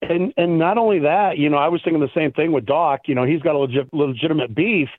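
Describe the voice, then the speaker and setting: A man's voice: on the high side, fast talker, no echo. A male guest reminiscing enthusiastically in casual chat, with a slight tickle in his throat. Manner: enthusiastic, reminiscing, many "you know" fillers. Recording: tinny phone line, dry.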